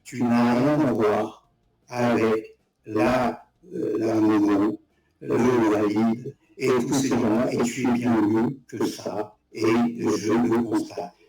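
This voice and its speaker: A man's voice: mid-range voice, fairly even intonation, slow. A man speaking as a guest in a live debate, bluntly criticizing his host with hesitant restarts. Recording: echoey room, far from mic, loud crowd noise.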